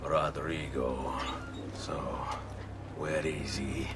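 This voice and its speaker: A man's voice: extremely low pitch, little pitch movement, very slow, far from the mic, heavily acted. An actor playing a determined, brooding young man with an Italian accent in a video game cutscene. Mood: defiant. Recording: music behind the voice.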